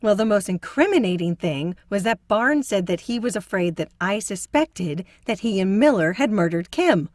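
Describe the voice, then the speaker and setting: A woman playing a sharp, determined amateur investigator with a wry, deliberate manner in an audio drama. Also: mid-range voice, expressive, no echo, studio quality, close to an everyday voice. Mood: pleased, explaining.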